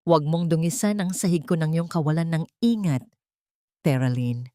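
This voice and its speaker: A woman narrator playing a haughty elderly mother-in-law, sharp and scolding, in an audio love story. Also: low voice, animated, normal speed, dry recording, clean studio sound. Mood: cold scolding.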